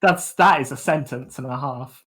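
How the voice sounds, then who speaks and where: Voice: medium pitch, moderate pace, a bit bright, breathy edge. Young streamer chatting casually. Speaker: livestream host and kazoo performer. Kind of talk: casual livestream chat.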